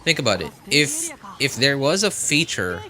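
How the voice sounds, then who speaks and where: A man's voice: mid-range voice, sing-song, moderate pace, sharp tone. A man hosting a game livestream, chatting casually and rambling as he repeats and reworks his phrases. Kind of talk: casual live stream chat.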